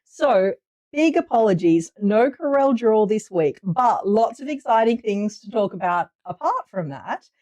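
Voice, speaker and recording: A woman's voice: mid-range voice, animated, measured pace, a little mellow, lo-fi sound. A woman hosting a casual livestream, chatty and upbeat while getting over a cold. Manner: chatty and upbeat, reading viewer names aloud. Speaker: livestream host. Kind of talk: casual livestream chat greeting viewers.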